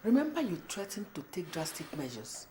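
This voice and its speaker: A woman acting a wife who questions her husband, measured and accusing. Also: on the low side, big pitch swings, dark tone, soft background music. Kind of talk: melodramatic film acting.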